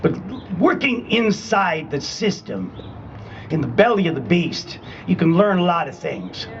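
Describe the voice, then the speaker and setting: A man's voice: high voice, very animated, measured pace, muffled recording, noisy background. Older New England man at a rally. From Rhode Island and Connecticut, US. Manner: conversational and emphatic, checks in with "okay?". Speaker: rally speaker, retired Army. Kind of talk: public rally speech. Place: public rally at a state capitol.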